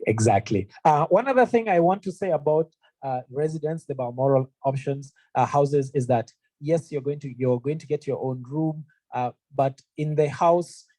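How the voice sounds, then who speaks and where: Man from Kenya, young adult, warm mellow voice, dry close sound, earnest chatty talk. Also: on the high side, normal speed, dry recording, low-quality recording. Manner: earnest, conversational, frequent fillers. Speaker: university representative. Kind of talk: presentation to prospective students. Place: room presentation, also streamed online.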